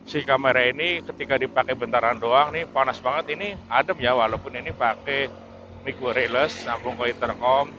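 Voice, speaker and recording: Man vlogging over vehicle noise, muffled mic. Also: medium pitch. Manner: hesitant, rambling, repeats himself. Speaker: motovlogger reviewing his camera. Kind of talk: casual unscripted vlog chat. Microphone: wireless mic through helmet intercom. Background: vehicle and road noise.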